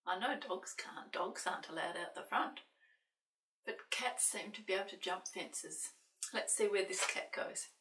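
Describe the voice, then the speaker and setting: Older woman, children's story reader, slow pace, playful sing-song delivery, distant echoey recording. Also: mid-range voice, a bit bright. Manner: playful, sing-song, asking questions of young listeners. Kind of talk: animated picture-book reading with asides to young children. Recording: roomy echo, distant microphone.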